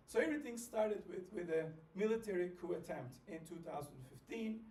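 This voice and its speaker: A man's voice: sits high, lively intonation, normal speed, darkish tone, distant mic. Chatty man presenting in an echoey room. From Turkey. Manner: chatty and informal, many fillers. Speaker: seminar presenter. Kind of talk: conference presentation. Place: seminar room.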